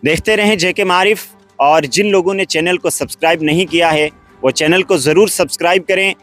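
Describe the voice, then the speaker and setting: A man's voice: sits high, brisk, fairly bright tone. A man hosting an online news update, reading scripted narration and commentary. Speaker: host of an online news channel. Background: background music.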